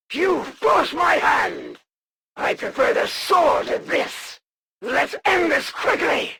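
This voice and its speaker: A woman voicing a proud, honor-bound alien female assassin for a video game, speaking in a formal, stately way with a gravelly, creaky edge. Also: sits high, a bit bright, exaggerated voice. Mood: determined. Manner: formal, archaic, stately and deliberate. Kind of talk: video game villain voice lines.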